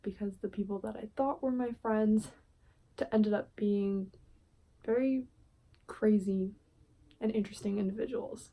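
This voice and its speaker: A woman's voice: medium pitch, mellow. A woman in her twenties chatting casually in a vlog, with frequent filler words. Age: 20s. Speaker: vlogger.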